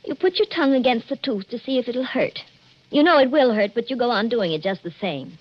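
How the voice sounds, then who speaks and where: An actress playing a small, nervous, playful young bride in a 1940s radio drama style. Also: female voice, a little high, animated, brisk. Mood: uneasy, tender. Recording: muffled old radio recording.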